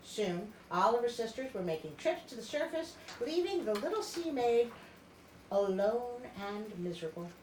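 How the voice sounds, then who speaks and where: A woman's voice: fairly high pitch, big pitch swings, moderate pace, a little mellow. A woman reading a story aloud as its host, dramatic and playful, with chatty asides. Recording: roomy echo, clear background noise.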